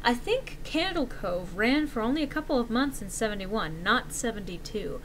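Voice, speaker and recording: A woman's voice: fairly high pitch, expressive, normal speed, a bit bright, slight background noise, rough recording. A woman voicing a matter-of-fact forum poster piecing together childhood memories in a conversational dramatic reading. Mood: thoughtful recall. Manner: conversational, recollecting.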